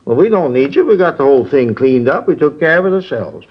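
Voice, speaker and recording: An actor playing a confused, agitated elderly father in a 1950s radio drama, with a husky voice. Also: sing-song, fast. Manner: agitated, rambling, wildly swinging pitch. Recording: dull lo-fi old radio recording.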